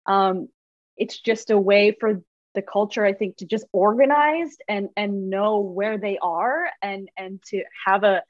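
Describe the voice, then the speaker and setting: A woman's voice: mid-range voice, unhurried, no echo. A woman talking as a podcast guest, thoughtful and earnest, often restarting her sentences. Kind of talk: reflective conversational chat. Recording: dull, dry sound.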